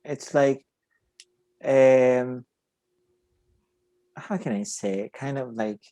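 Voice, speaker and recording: A man's voice: mid-range voice, extremely slow, dark tone, no room sound. A man with a Portuguese accent, a native Portuguese speaker, hosting a casual interview and often searching for words. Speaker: podcast host.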